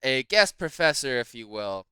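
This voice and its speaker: A man's voice: mid-range voice, very animated, moderate pace, pushed and bright, clean tone, no echo, clean studio sound. A man hosting a tabletop gaming lesson video, upbeat and chatty, tired from staying up late. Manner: upbeat, hyped greeting. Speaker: host. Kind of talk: casual video intro.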